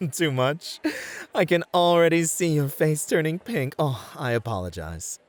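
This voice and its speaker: Man acting a lilting, flirty gentleman club host. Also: on the high side, animated, unhurried, no echo. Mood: flirty, attentive.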